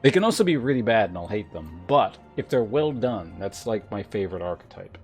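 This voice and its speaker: A man's voice: fairly low pitch, sing-song, a little fast. Rambling male game streamer over music. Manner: rambling, thinking aloud. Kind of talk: casual livestream chat, reading game text aloud. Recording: music behind the voice.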